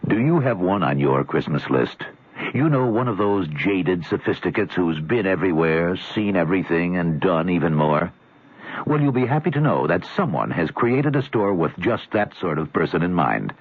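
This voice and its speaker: A man reading a radio ad in a smooth, salesy delivery. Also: sits low, big pitch swings, moderate pace, no echo. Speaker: radio ad announcer. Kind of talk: scripted radio ad read. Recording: tinny old radio broadcast.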